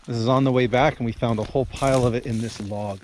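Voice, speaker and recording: A man's voice: medium pitch, steady tone, quick pace. Guy vlogging, talking casually into a handheld camera, pretty noisy audio. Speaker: vlogger filming a foraging trip. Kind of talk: casual chat while filming. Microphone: handheld action camera.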